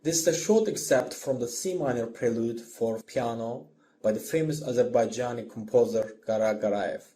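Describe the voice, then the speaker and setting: A man who is a non-native English speaker narrates a scripted music lesson as the instructor, in a deliberate, explanatory manner. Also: medium pitch, warm tone. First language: not English.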